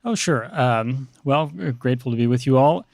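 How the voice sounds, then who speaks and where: Measured American man, thoughtful priest, dry sound. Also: mid-range voice, gravelly, darkish tone, no room sound. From Texas, United States. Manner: measured, hesitant, thoughtful phrasing. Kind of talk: reflective interview talk.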